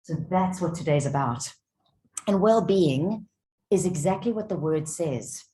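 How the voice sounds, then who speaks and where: A woman's voice: fairly low pitch, animated, unhurried, mellow, a little hiss or hum. A woman in her forties with a South African accent, giving a conversational wellbeing keynote to a conference of doctors and asking the audience questions. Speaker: wellbeing speaker. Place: conference hall. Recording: echoey hall, faint ambience.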